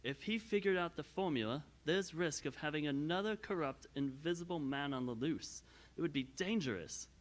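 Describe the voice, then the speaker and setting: An actor playing an earnest, worried man in an audio theater drama, with a deliberate stage delivery. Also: fairly high pitch, animated, natural voice. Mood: worried, resolute. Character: earnest, worried man who found secret journals.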